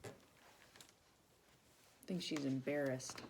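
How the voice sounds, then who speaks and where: A woman reading a children's story aloud expressively, pausing to chat with young listeners. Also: rumbling low, steady tone, darkish tone, slight background noise. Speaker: volunteer storybook reader for children.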